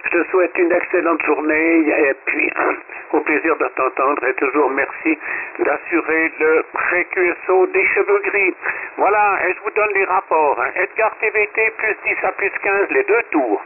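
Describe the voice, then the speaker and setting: Higher-voiced man over shortwave radio. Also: a little slow. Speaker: ham radio operator. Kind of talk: casual radio chat giving signal reports. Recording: tinny shortwave radio with hiss.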